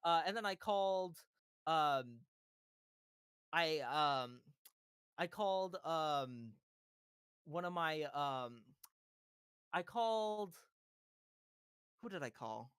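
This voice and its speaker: A man's voice: a little high, lively intonation, very slow, long pauses, a bit bright, clean tone, dry recording, clean studio sound. A man voice actor telling a story on a casual panel, rambling with many fillers and giggles. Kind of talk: casual livestream chat.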